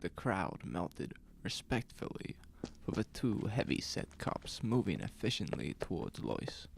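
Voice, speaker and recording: A man narrating a scripted horror story in a deliberate, suspenseful, dramatic manner. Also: sits low, big pitch swings, vocal fry. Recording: faint noise under ambient sound effects.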